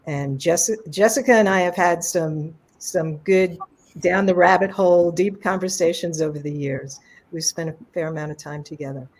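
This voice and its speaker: A woman's voice: fairly low pitch, steady tone, normal speed, a little mellow. A woman in a casual online conversation, speaking in an enthusiastic, rambling way about a colleague and partner work. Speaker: co-presenter.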